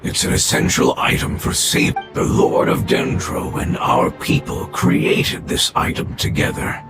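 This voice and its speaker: An actor voicing a weary, dying ancient creature in a video game, gravelly and halting, solemn and loyal. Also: lightly acted. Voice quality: heavily gravelly, breathy rasp. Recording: loud music under the voice.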